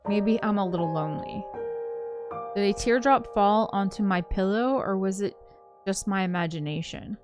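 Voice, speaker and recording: A woman reading a visual novel aloud as a game co-host, expressive in the story and giggly in her casual asides. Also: mid-range voice, normal speed. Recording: music playing behind the voice. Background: game soundtrack music.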